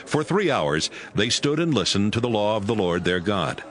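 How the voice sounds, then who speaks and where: Male narrator, fairly low, lively intonation, scripted scripture reading. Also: normal speed. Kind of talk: scripted audio Bible narration.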